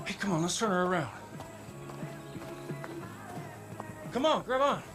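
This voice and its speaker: An actor playing a foul-mouthed, excitable rural pilot and husband with an American accent in a video game cutscene. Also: male voice, high voice, rapid-fire, over music. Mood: elated. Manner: folksy, exclamatory, swearing.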